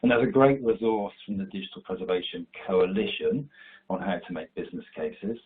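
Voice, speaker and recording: Low husky man with a stuffy cold. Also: a little fast. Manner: steady, explanatory delivery. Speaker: webinar presenter. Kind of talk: prepared slide presentation. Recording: tinny online call audio.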